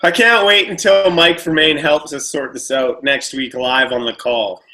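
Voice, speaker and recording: A man co-hosting a casual online show over a video call speaks with a bright, forward tone. His voice sits a little high. He is quick and self-mocking, and he sounds tired. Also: steady tone. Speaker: co-host. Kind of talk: casual live-stream chat.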